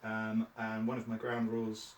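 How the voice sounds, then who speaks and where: A man's voice: on the low side, monotone, normal speed, slight background noise. Cheery guy running a training group, lots of ums, roomy far-off sound. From Cornwall, England. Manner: upbeat and encouraging, frequent fillers. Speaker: trainer leading a session. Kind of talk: friendly training-session facilitation. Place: small training room. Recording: echoey room, mic at a distance.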